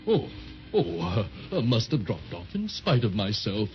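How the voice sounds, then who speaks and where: Bumbling, good-natured patchwork dragon. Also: male voice, fairly low pitch, wildly swooping pitch, measured pace. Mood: flustered. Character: amiable, drowsy, bumbling male patchwork dragon. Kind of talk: 1930s radio fantasy acting. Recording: muffled 1930s radio transcription with music.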